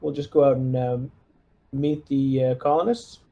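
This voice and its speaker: A man's voice: medium pitch, steady tone, moderate pace, dark tone, muffled recording. A man playing a lieutenant in a tabletop game, giving casual orders in a hesitant, deliberating way. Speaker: player acting as squad lieutenant in a tabletop game.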